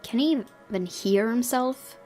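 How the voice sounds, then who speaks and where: A woman's voice: on the high side, a little slow, darkish tone, soft background music. A woman game streamer reading visual novel narration aloud expressively, dropping in her own asides.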